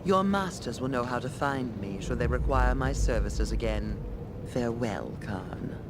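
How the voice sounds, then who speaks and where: A woman's voice: rumbling low, expressive, measured pace, very noisy. An actress playing a haughty, menacing dragon in a noble lady's guise, imperious and contemptuous, in a fantasy game. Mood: weary disdain. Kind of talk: theatrical fantasy game voice acting.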